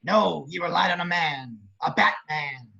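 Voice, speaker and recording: A man acts out a grotesque, grandiose villain giving bitter political speeches at a table read. The voice is pitched high for a man, gravelly and husky. The audio is dull and lo-fi. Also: a little slow, sharp tone, heavily acted. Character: grotesque, grandiose male crime boss posturing as politician.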